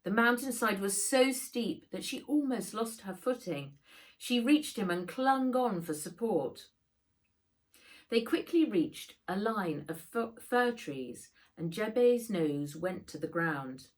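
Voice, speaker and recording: Slow, expressive woman storyteller. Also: medium pitch. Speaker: author reading own book. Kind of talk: expressive children's audiobook narration.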